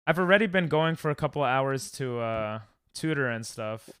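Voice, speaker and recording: A man's voice: medium pitch, expressive, moderate pace, a bit bright, smooth, no echo, studio quality. A man hosting a livestream, chatting casually with a group of friends.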